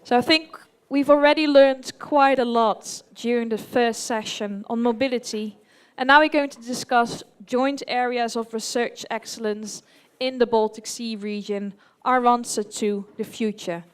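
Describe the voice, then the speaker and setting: A woman's voice: on the high side, steady tone, measured pace, smooth. A woman speaking formally as a conference moderator, introducing sessions and speakers to an audience.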